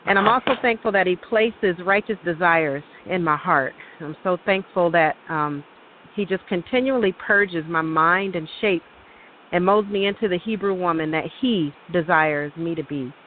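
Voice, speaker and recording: A woman's voice: fairly low pitch, normal speed. A woman co-host gives devotional testimony in a grateful, reverent manner. She is heard through a tinny, phone-like connection with a faint hiss. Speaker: religious show co-host. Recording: hissy, tinny phone line.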